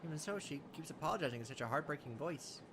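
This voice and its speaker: A man's voice: on the high side, expressive, quick pace, background noise. A man hosting a let's play, reading a visual novel's narration aloud with casual asides. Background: game music behind the voice.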